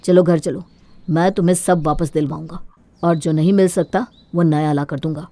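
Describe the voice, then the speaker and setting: A man's voice: on the high side, fairly even intonation, normal speed, mellow, no echo, close to an everyday voice. An actor plays a young husband in a cartoon moral tale. He is a caring, dutiful man with an earnest, concerned delivery. The recording is close and dry. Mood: reassuring. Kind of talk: Hindi cartoon moral story acting.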